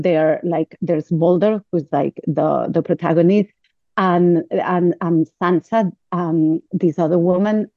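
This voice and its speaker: Catalan woman guest, lowish voice, halting delivery, dry slightly dull sound. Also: no echo. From Catalonia, Spain. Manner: halting, many fillers and restarts. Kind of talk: podcast interview.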